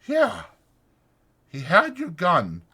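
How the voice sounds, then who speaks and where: A man voicing a weary, protective ranch hand who talks in a halting, soothing, anguished way in a dramatized audiobook. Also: sits high, huge pitch swings, gravelly, pushed and bright, slightly rough, voice pushed up, heavily acted. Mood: drained.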